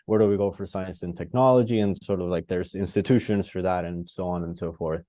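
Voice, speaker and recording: Low, mellow-voiced man from Costa Rica. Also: steady tone, brisk, dry recording, clean studio sound. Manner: rambling and searching, many fillers and restarts. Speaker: participant. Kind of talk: reflective discussion on a video call. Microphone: video call.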